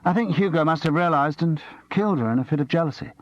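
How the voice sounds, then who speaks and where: A man's voice: fairly high pitch, fast talker, dry recording, lo-fi sound, voice pushed up, close to an everyday voice. Man doing a vain, arrogant young actor. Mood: overwrought. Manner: self-important, theatrical.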